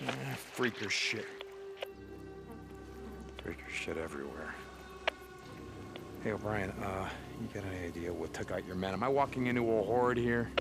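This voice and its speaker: An actor playing a gruff, sardonic survivor of a zombie apocalypse in a naturalistic video game style, muttering and swearing. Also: male voice, fairly low pitch, expressive, moderate pace, long pauses, over music. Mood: wary, sarcastic.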